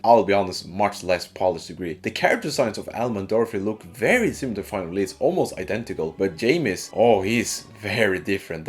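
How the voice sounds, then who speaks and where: Animated, low-pitched man reviewing games. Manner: informal, sweary, animated exclamations. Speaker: video game reviewer. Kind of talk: casual scripted video essay commentary.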